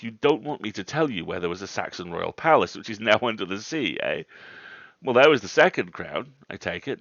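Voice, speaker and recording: Storybook old rector, played by a man: genial, learned, genteel and talkative, low creaky voice full of conversational asides. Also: a bit bright, full character voice. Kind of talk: storybook reading. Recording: roomy, dull livestream audio.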